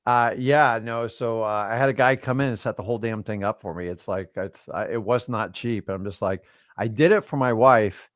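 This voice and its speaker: A middle-aged man chatting casually as a host, rambling and animated, with lots of fillers and restarts. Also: fairly low pitch, normal speed, few pauses, no room sound, studio quality. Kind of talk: casual chat. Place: studio livestream.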